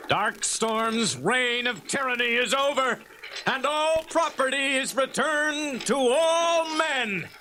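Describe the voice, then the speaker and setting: A man's voice: very high-pitched, animated, takes it slowly, noisy background, voice pushed up, exaggerated voice. A man voicing a bold, righteous knight leader in a story, declaiming as if rallying followers. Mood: triumphant.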